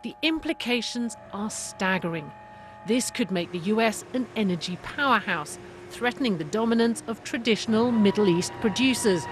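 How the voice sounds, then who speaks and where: A woman presenting a television business programme in a clear, measured, emphatic broadcast style. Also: mid-range voice, slight background noise. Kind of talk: broadcast presenting, scripted narration and panel introductions.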